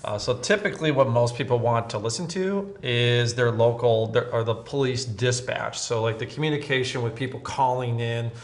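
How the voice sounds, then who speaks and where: A man's voice: mid-range voice, moderate pace, few pauses. A man with an American accent co-hosting a tutorial, explaining in a casual, conversational way and repeating points for emphasis. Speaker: co-host, police scanner seller.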